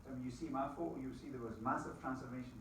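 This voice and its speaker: Middle-aged man, Scottish accent, motivational presenter, chats with the crowd, booming room echo, distant mic. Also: racing, slight background noise. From Scotland. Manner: lively, quizzes the crowd, folksy asides. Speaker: business opportunity presenter. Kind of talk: motivational talk to a live audience.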